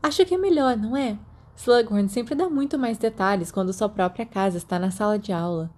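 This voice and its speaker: A woman's voice: medium pitch, a little fast, a little mellow, close to an everyday voice. A Brazilian woman voicing a sensible, easily exasperated teenage schoolgirl in an audiobook story, prim and firm in manner. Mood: bright. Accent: Brazilian Portuguese.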